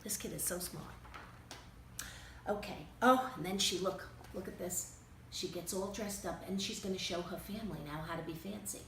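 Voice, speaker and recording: A woman's voice: fairly low pitch, normal speed, fairly bright tone, lightly acted. A woman playing a brash, opinionated, gushing storybook guest reader who chats in theatrical asides. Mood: doting. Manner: chatty, theatrical, interrupting with asides. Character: Selma, a brash, opinionated, Fancy Nancy-obsessed guest reader. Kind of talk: children's storybook read-aloud. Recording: roomy, distant mic with faint noise.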